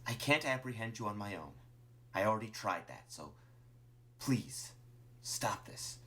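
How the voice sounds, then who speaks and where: A man voicing an earnest female monster who reproaches and pleads haltingly in a narrated story. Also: fairly low pitch, animated, sharp tone, heavily acted. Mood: hopeful pleading.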